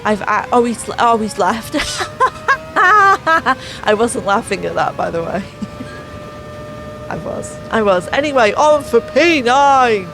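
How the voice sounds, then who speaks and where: Slow, sarcastic woman losing her voice. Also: a little high, very animated, fairly bright tone, poor audio. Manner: sarcastic, exasperated. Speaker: gaming channel host. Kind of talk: racing game voice-over commentary. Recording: voice over clear background music.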